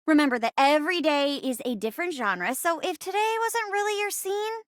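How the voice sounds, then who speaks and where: High, animated, flirty theatre chair. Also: female voice, brisk, no room sound, studio quality. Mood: encouraging, upbeat. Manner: bubbly, theatrical, stammering when flustered. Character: living chair, eager theatre actress, flustered and flirty. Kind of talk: video game character voice acting.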